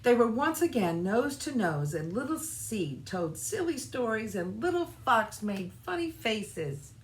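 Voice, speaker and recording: A woman reading a children's storybook aloud in an expressive, deliberate way, as scripted narration. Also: a little high. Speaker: children's storybook reader, church music director. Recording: roomy echo, faint background noise.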